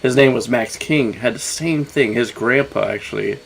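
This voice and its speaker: A man's voice: mid-range voice, normal speed, gravelly. A young adult man hosting a podcast, asking laid-back questions filled with fillers like "like.". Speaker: podcast host. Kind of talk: casual interview. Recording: faint background noise.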